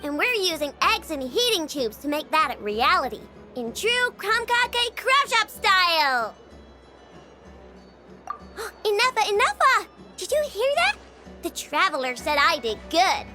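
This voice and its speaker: A woman playing a cheeky, boastful and excitable little girl inventor in a video game, bratty and exclamatory. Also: very high-pitched, measured pace, over music, exaggerated voice. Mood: excited, proud.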